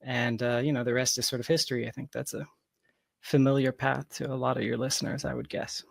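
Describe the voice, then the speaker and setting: A man's voice: mid-range voice, flat delivery, brisk, gravelly, no room sound, studio quality. A man in his thirties from Georgia giving reflective, explanatory answers as a guest in a conversational interview. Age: 30s. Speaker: podcast guest, software developer.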